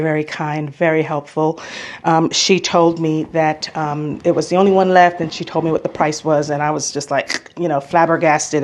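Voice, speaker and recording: A woman's voice: low voice, a little mellow. An American woman talking casually in a travel vlog, chatty and opinionated, stressing points by repeating herself. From United States. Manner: chatty, opinionated, emphatic repetition. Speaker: travel vlogger.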